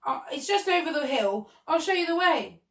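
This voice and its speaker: A woman's voice: high voice, big pitch swings, normal speed, fairly bright tone, pitched above the performer's own voice, exaggerated voice. A woman voicing an eager, polite young boy in a storybook, earnest and slightly hesitant. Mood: helpful. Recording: roomy echo, distant from the mic.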